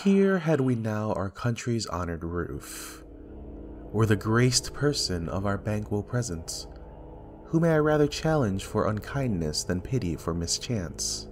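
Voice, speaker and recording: A man playing a guilt-ridden usurper king in a Shakespearean dramatic reading, with measured, declamatory verse delivery. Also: on the low side, big pitch swings, slight background noise. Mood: courteous. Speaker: amateur actor in a library's dramatic reading.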